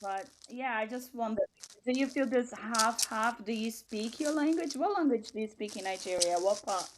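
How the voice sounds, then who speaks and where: A woman's voice: a little high, moderate pace, a crowd in the background, rough recording. Woman hosting, hesitant speech. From Brazil. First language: Portuguese. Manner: hesitant, with frequent ums and restarts. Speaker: podcast host. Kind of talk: conversational interview.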